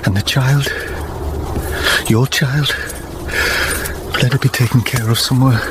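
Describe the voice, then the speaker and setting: Man playing nervous gentleman with a secret, radio play, hesitant, loud noise behind him. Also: medium pitch, fairly even intonation, measured pace, close to an everyday voice. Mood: secretly desperate. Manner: hesitant, anxious. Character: guilt-ridden young gentleman hiding a secret, anxious, evasive. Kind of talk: radio drama acting.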